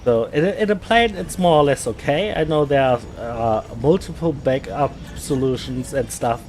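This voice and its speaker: A man from Germany with a German accent, chatting casually while playing a game, often repeating words and pausing with fillers. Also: medium pitch, animated, a little mellow, soft background music. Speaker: gaming streamer. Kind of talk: casual gameplay chat.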